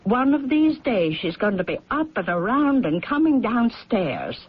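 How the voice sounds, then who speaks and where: A stubborn, scolding household woman in a radio drama. Her husky, fairly high voice moves emphatically up and down, firm and reproachful. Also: sing-song, unhurried, full character voice. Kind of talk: old-time radio drama acting. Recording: muffled lo-fi radio drama recording.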